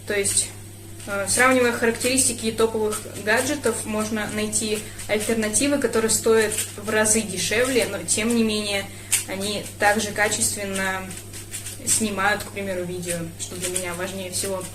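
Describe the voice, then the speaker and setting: A woman's voice: medium pitch, steady tone, moderate pace, echoey room. A young woman from Russia answering viewer questions in a casual vlog, thoughtful and hesitant, with frequent fillers. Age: young adult. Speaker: speedcuber vlogger.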